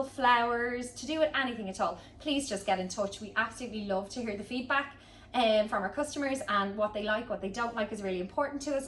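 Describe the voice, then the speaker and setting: A woman giving a chatty, informal hands-on tutorial as a florist, talking casually as she demonstrates. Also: on the high side. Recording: roomy echo, distant mic, background noise.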